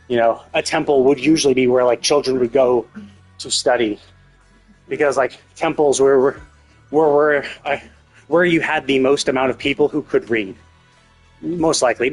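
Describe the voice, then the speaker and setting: Guy streaming a game, rambly thinking out loud, normal pitched voice, music in the back. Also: low-quality recording. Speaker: live streamer. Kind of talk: casual gaming livestream commentary.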